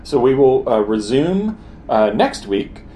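A man's voice: mid-range voice, big pitch swings, slow pace, warm tone, a little hiss or hum. A man co-hosting a podcast in casual chat from a hotel room, dry and a little hesitant. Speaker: podcast co-host.

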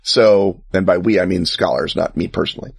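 Dry-sounding man rambling excitedly. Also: sits low, fairly quick, gravelly, breathy edge, no room sound. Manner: enthusiastic, wry asides, many fillers. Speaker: podcast co-host. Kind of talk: casual explanatory chat.

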